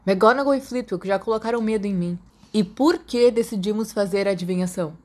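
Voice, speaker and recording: A woman's voice: mid-range voice, fairly quick, darkish tone, lightly acted. Woman voicing a boastful, confident teenage schoolboy. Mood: exasperated. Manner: bantering, competitive.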